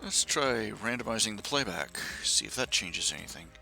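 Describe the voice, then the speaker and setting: Bright-voiced grumbling man in his forties. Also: fairly low pitch, animated, normal speed, gravelly, soft background music, poor audio. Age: 40s. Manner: rambling, self-deprecating, grumbling. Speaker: live streamer. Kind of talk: casual live stream chat while fixing software.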